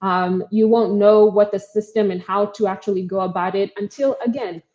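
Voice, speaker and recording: A woman's voice: medium pitch, fairly even intonation, moderate pace, warm tone, lo-fi sound. A young woman with a Kenyan accent, a startup co-founder earnestly answering interview questions with frequent ums and likes.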